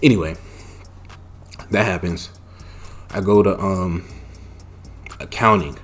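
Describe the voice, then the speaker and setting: A young man casually telling a personal story, animated and profane, with loud bursts and lots of slang. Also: low voice, slow pace. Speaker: storytime vlogger.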